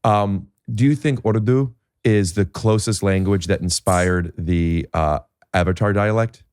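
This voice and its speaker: A man with a lowish voice hosts a casual podcast chat. He often stops himself, restarts sentences and fills gaps with "uh" and "um." The sound is close and dry, with no room around it. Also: darkish tone, clean tone, no echo. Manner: halting, many restarts and fillers. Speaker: podcast host. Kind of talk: casual conversation.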